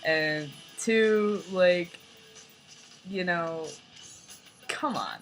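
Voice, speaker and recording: A young man with a light, fairly high voice talking in a rambling, casual vlog, full of "like" and "you know". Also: very slow, a bit bright, faint music behind, rough recording. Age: young adult. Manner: rambling, filler-heavy, lots of "like" and "you know". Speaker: vlogger.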